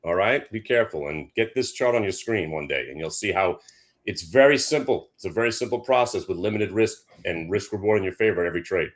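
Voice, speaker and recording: A man's voice: low-pitched, expressive, a little fast. A man giving casual market chart commentary in an emphatic, instructive way, like a trading coach. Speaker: trading educator.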